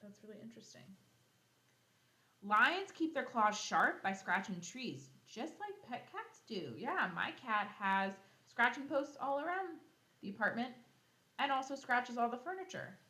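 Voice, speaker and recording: Female elementary teacher for kids' read-aloud: animated pitch, explanatory asides, roomy echoing recording. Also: unhurried. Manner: expressive, explanatory, thinks aloud for young pupils.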